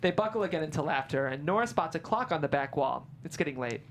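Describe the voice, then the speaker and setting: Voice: medium pitch, expressive, quick pace. A narrator reading screenplay stage directions aloud at a table read. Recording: clearly noisy room with hum.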